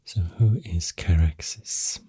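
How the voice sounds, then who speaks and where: Casting: man, Swedish accent, very dark low voice, soft and breathy, slow halting ASMR ramble, close-miked. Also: dry recording. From Sweden. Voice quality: deep, soft, breathy, half-whispered. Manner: hesitant, slow, halting, self-correcting. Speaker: ASMR artist. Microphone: close microphone.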